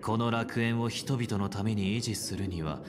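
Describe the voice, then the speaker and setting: Man acting calm idealistic leader, video game character, polite, measured, solemn persuasion, music behind. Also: on the low side, natural voice. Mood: solemn, serene. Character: calm idealistic male leader offering a dream paradise. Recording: music under the voice.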